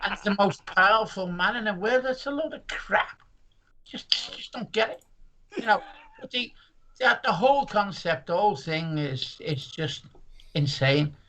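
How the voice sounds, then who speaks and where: An older man in his sixties, a comedian speaking. He talks in loose, jokey rambles, restarting sentences and tossing in asides. His voice sits a little high for a man, with a faint hiss of noise behind it. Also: animated, moderate pace, sharp tone, rough recording. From Merseyside, England. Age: 60s. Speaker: comedian guest. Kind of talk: casual chat.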